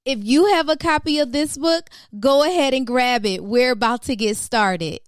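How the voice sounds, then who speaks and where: A woman's voice: high voice, moderate pace. A woman reading a children's picture book aloud as a storytime host, with dramatic questions and exclamations for young listeners.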